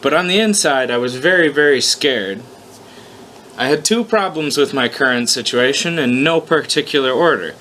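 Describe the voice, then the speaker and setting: A man's voice: mid-range voice, animated, moderate pace, sharp tone. Man reading as a wry, flustered guy, noisy recording. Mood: nervous sarcasm. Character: wry, flustered young human man narrating his own predicament. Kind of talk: first-person story read aloud. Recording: noticeable background noise, amateur recording.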